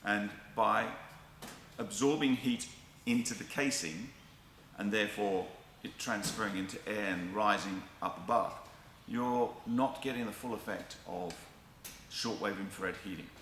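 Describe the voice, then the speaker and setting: Guy demoing a product, lowish forceful voice, echoey room with a bit of noise. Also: measured pace. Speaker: product presenter. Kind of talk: product demonstration.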